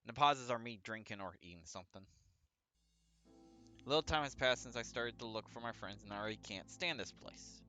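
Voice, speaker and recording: A man with a bright, forward voice reads a visual novel aloud for a let's play. He stumbles over the odd word and keeps it casual. Music plays behind him. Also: mid-range voice, a little fast. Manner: stumbles over words while reading. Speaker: let's player.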